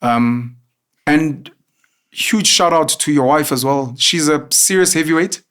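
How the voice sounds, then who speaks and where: A man's voice: on the high side, measured pace, a bit bright. A man hosting an interview, talking in an effusive, chatty and admiring way. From South Africa. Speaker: host. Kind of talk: interview conversation.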